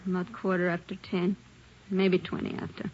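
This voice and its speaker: An actress plays a hot-tempered young woman accused of killing her mother-in-law, snapping back at detectives. She swings from sullen mutters to shrill denials. The sound is dull and muffled, like an old radio broadcast. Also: monotone, normal speed, darkish tone, a little hiss or hum, close to an everyday voice. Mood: sullen, bitter. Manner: sullen then shrill, insisting, bitter. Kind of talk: 1940s radio acting.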